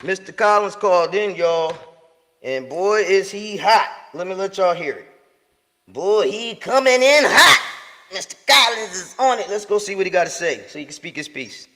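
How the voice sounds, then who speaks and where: A man's voice: high-pitched, lively intonation, normal speed, sharp tone, long reverb tail. A man hosting a live show, talking loosely in slang with lots of fillers and restarts. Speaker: podcast host. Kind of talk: casual live show chat.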